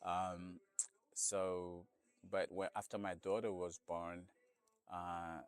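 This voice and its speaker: A man's voice: low-pitched, flat delivery, slow, smooth. A man from Senegal with a Senegalese accent, a non-native English speaker, answering casually in an interview and often adding "you know". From Senegal, West Africa. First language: not English. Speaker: store owner being interviewed.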